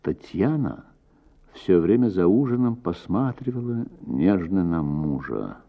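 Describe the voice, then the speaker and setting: A man narrates a literary story in a low, slightly husky voice. He takes his time and shapes phrases expressively, like an actor on radio. The sound is a little dull. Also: big pitch swings, measured pace. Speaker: actor reading a short story.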